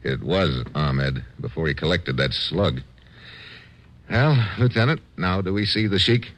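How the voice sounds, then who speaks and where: An actor playing a tough, wry American adventurer in a 1950s radio drama, narrating and talking in terse, deadpan lines. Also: male voice, sits low, unhurried. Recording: old radio recording with music.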